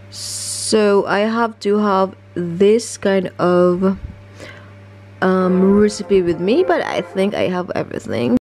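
A pregnant young woman chatting casually in a home cooking vlog, full of fillers and restarts, recorded on a camera mic at home. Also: mid-range voice. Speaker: vlogger. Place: home kitchen and living room.

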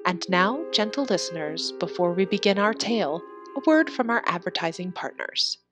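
Lady radio announcer, kinda low voice, theatrical old timey intro, soft music underneath. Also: expressive, normal speed. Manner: theatrical, formal. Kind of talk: old-time radio show introduction, scripted. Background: faint music.

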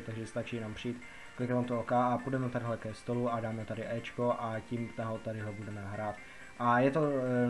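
A man from the Czech Republic giving a casual, rambling game tutorial, repeating himself as he explains. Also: mid-range voice. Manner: rambling, repetitive explaining with filler words. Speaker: gaming video narrator. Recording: music behind the voice. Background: background music.

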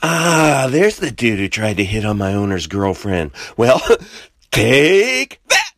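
A man's voice: fairly low pitch, big pitch swings, takes it slowly, fairly bright tone, dry recording, studio quality, full character voice. A man voicing a smug, vengeful pet animal in a comic voice-over.

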